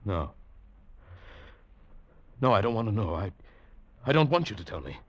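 A man's voice: lowish voice, sing-song, moderate pace, heavy background noise. An actor in a mid-century radio drama playing a troubled, spellbound man, intense and hesitant, also narrating dramatically. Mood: anxious, hesitant. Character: troubled, spellbound Englishman drawn to a mysterious woman. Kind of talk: 1940s-50s radio drama acting and narration. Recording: old muffled radio broadcast with music.

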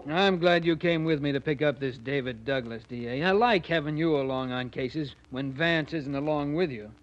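An actor playing a gruff, eager American police sergeant in 1940s radio acting style. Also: male voice, fairly high pitch, moderate pace, natural voice. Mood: chatty, confident. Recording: dull, noisy 1940s radio recording with clicks.

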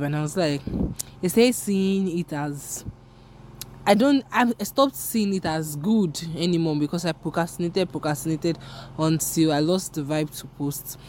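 A woman's voice: low voice, a little mellow, slight background noise. A young woman from Nigeria, whose first language is Yoruba, speaks with a Nigerian English accent. She hosts her own podcast in a rambling, candid, self-deprecating chat. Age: young adult. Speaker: podcast host. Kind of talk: casual solo podcast chat.

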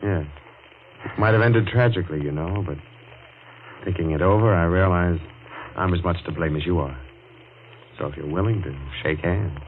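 An actor playing a forgiving, level-headed rival with a measured manner in a 1940s radio drama. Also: male voice, deep voice, close to an everyday voice. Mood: calm, forgiving. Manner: measured, reasonable. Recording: dull, faintly noisy old radio recording.

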